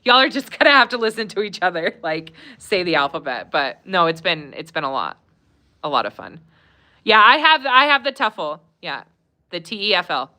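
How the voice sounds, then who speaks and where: A woman's voice: fairly low pitch, big pitch swings, normal speed. A woman hosting a live Q&A stream, chatting casually with many fillers, laughing often and reading viewer comments aloud. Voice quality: warm, conversational, many fillers.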